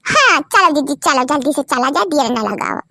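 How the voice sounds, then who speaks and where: A woman's voice: sits high, expressive, normal speed, bright, forceful tone, slight background noise, exaggerated voice. A woman voicing folksy, dramatic village women in a cartoon comedy, with an Awadhi accent and a childlike voice. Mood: plaintive. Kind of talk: cartoon comedy dialogue in rural dialect.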